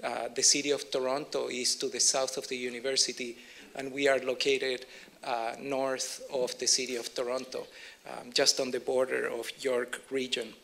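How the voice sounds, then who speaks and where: Chilean guy w/ spanish accent doing a keynote, gravelly voice, lots of uh and um. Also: medium pitch, flat delivery, fairly bright tone. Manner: measured, filler-heavy delivery. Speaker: keynote speaker. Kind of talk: conference keynote talk.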